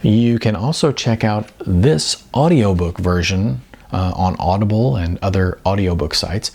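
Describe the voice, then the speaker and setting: Male author, audiobook narration, gravelly fry, somewhat deep, dramatic emphasis. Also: expressive, unhurried, a little mellow. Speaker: author reading own novel.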